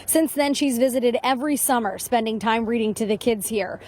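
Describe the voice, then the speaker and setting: A woman's voice: a little high, brisk, a little mellow. An American woman giving a live TV news report from the field. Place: on location at a hospital.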